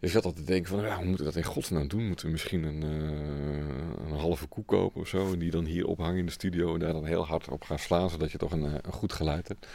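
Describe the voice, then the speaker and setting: A man's voice: a bass voice, steady tone, fast, gravelly, hardly any pauses, warm tone. A man talking casually as a sound designer in an interview, informal and hesitant. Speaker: radio drama sound designer. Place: studio.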